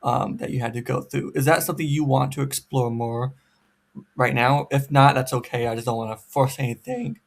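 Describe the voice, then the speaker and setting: A man asks careful, gentle questions in an oral history interview. His voice has a strong gravelly fry at a middle pitch. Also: fairly even intonation, moderate pace.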